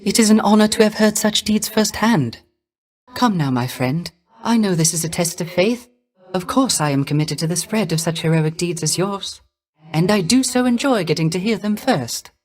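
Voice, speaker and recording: A male voice actor playing a gentle, melancholy young poet-musician of the underworld in a video game, with soft, airy, ornate and deferential speech. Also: sits high, animated, normal speed. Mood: admiring. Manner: ornate, formal, deferential diction. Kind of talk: theatrical game character voice lines.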